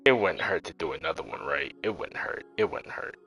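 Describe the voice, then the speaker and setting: Deep, gravelly man streaming a game. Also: brisk. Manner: excitable, swearing, talks to himself. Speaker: game livestreamer. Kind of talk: casual livestream gaming commentary. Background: game music.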